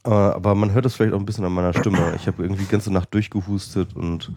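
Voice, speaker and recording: A man's voice: sits low, fairly even intonation, fast talker, mellow, no echo, studio quality. A man hosting a podcast with a cold, chatting casually and rambling with many fillers. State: has a cold. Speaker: podcast host. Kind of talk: casual chat.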